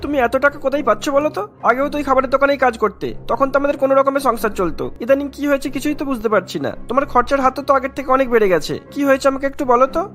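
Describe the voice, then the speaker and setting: Woman acting a rapid, anxious young husband. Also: sits high, lightly acted. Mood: suspicious, questioning. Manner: anxious, questioning. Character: worried, ashamed adult son, an invalid husband. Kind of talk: Bengali cartoon dialogue. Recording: music behind the voice. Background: music.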